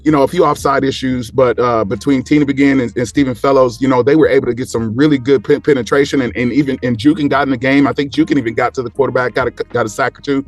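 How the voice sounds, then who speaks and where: A man's voice: mid-range voice, steady tone, fast talker, few pauses, soft background music. A man in casual sports talk as a panel guest, rambling along and repeating phrases for emphasis.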